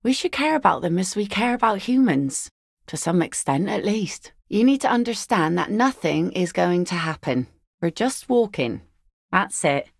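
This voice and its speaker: A woman's voice: fairly low pitch, big pitch swings, normal speed, gravelly, no echo, full character voice. An actress voicing a weary, sardonic woman who mends nets and minds her kids, speaking in dry, teasing game voice lines. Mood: wry. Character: weary, sardonic adult female net mender with kids.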